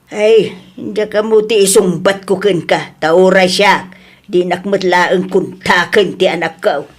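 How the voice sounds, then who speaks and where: A woman acting a concerned, exasperated family elder and friend in an audio drama, with an Ilocano accent and an expressive delivery. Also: fairly low pitch, a little slow. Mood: worried.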